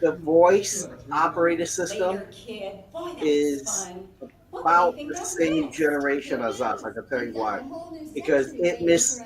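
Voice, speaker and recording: A man's voice: fairly high pitch, a little slow, crowd noise, rough recording. A man co-hosting a casual commentary, rambling through anecdotes. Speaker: podcast co-host.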